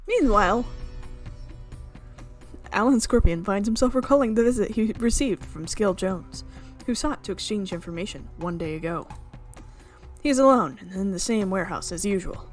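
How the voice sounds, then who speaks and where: A woman doing expressive let's play commentary, mixing dramatic reading of a visual novel with casual, reactive asides. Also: medium pitch, moderate pace. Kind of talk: game commentary mixed with dramatic read-aloud. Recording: music playing behind the voice.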